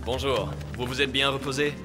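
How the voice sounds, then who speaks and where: A man playing a courteous young noble prince with formal, gracious speech in a French animated series dub. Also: medium pitch, a little fast, music in the background, close to an everyday voice.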